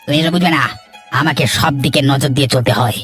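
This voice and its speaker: A man's voice: fairly high pitch, fast, fairly bright tone, faint music behind, close to an everyday voice. A man playing an arrogant, jealous village troublemaker in a cartoon, brash, defensive and scornful. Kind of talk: Bengali moral-story cartoon voice acting.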